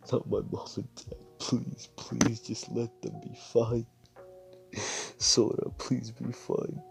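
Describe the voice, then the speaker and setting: A man's voice: lowish voice, normal speed, darkish tone, faint music behind, lightly acted, poor audio. A man voicing a desperate, grieving teenage boy who pleads and repeats himself, close to tears. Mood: sobbing, begging.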